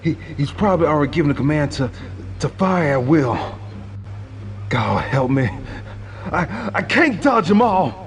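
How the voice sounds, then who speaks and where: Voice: medium pitch, very animated, unhurried, background noise, voice pushed up. A man voicing a desperate hunted man thinking aloud, urgent and stammering. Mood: panicked. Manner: urgent, stammering, rising with strain.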